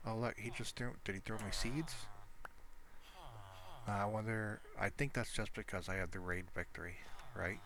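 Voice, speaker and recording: A man's voice: on the low side, steady tone, moderate pace, a crowd in the background. A man giving casual gameplay commentary, rambling and thinking aloud as he shows his game world. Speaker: gamer showing his Minecraft world.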